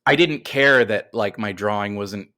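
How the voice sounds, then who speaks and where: A man's voice: fairly low pitch, unhurried, sharp tone. An American man in his forties telling stories casually as an interview guest, in a rambling, self-deprecating way. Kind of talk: casual conversational storytelling.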